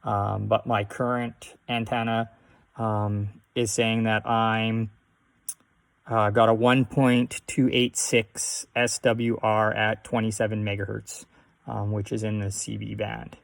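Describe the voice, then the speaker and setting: A man hosting a casual do-it-yourself tutorial, explaining things step by step in a halting way. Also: on the low side, monotone, creaky. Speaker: host of a DIY radio tutorial. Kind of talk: casual how-to demonstration.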